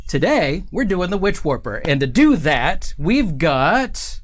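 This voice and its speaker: A man's voice: on the high side, sing-song, slow pace. A middle-aged man hosting a casual studio livestream, joking and rambling with lots of fillers. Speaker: host. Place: studio set with couch and table.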